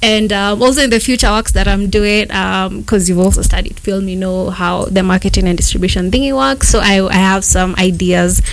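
A young filmmaker woman from Kenya talks through her work in a loose, rambling way, full of fillers and restarts. Her voice has a gravelly, creaky edge, pitched at an ordinary middle height. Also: fairly even intonation, brisk, few pauses. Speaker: guest filmmaker. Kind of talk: casual interview answers.

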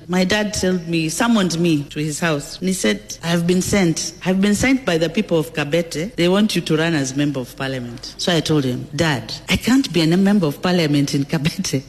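A woman recounting a personal story in a clip played on the radio. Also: fairly low pitch. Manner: anecdotal, storytelling. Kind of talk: speech clip played on air. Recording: archive clip with long reverb.

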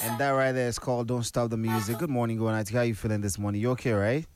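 A man's voice: mid-range voice, level tone, quick pace, over music. A man hosting a morning radio show, chatting through the news and peppering his talk with "now" and "you know.". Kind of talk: casual radio news chat.

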